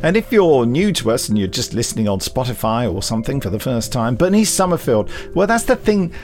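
A man's voice: mid-range voice, sing-song, fairly quick. British man presenting with wry asides, music behind. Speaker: podcast co-host. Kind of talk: chatty podcast presenting.

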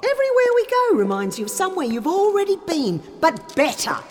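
A woman's voice: very high voice, huge pitch swings, fairly quick, full character voice. An actress playing a feisty, impatient young woman traveller in an audio drama, blunt, sarcastic and exasperated. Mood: sardonic. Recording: long cavernous reverb.

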